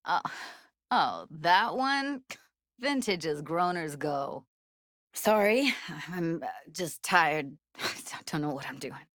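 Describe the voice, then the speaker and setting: A woman's voice: lowish voice, lively intonation, slow pace, pauses often, a bit bright, dry recording, clean studio sound. An actress playing a tough but tender young techie woman in a video game, speaking in a casual, clipped, slangy way. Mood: tired, subdued. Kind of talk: naturalistic video game dialogue.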